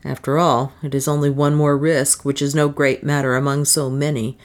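A woman's voice: very deep voice, level tone, moderate pace. A woman voicing a cold, commanding male adventurer in an audiobook, speaking in a curt, dry way. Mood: desperate, grim. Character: cold, desperate, commanding British adventurer man.

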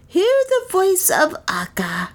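A woman voicing a cunning old male high priest in an audiobook, preaching in solemn, drawn-out, bitterly satirical phrases. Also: expressive, heavily acted. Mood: commanding. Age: older. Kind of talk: theatrical audiobook character speech.

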